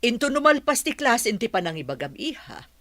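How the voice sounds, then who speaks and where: A woman playing a brisk village schoolteacher in an Ilocano radio drama, with a Filipino accent. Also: on the high side, big pitch swings, a bit bright, no room sound. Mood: businesslike, inquisitive.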